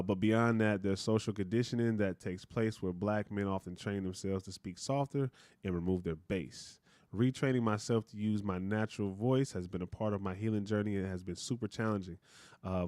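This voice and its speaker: A man with a deep voice chatting casually as a podcast co-host, animated and slangy, often laughing. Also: clear, resonant tone, no echo, studio quality. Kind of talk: casual chat.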